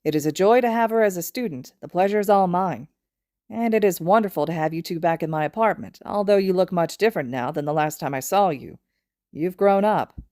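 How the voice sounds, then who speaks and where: A kindly martial arts teacher, an adult man in an anime story, read by a woman. He sounds courteous and gracious, with a lowish pitch. The recording is dry and close. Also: fairly quick, mellow, no room sound, natural voice. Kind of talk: anime fan fiction character reading.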